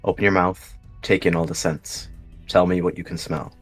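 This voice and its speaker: Man as a low husky warrior cat mentor. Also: little pitch movement, soft background music. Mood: gentle, instructing. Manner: measured, instructing, gruff yet gentle. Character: stern adult male warrior cat, patient instructing mentor.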